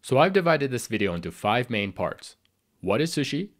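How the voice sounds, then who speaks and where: A man with a slightly raspy voice from a cold, explaining sushi basics as the host of a scripted cooking tutorial. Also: medium pitch, very animated, normal speed, over loud music. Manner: clear, explanatory, friendly instruction. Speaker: sushi chef and channel host.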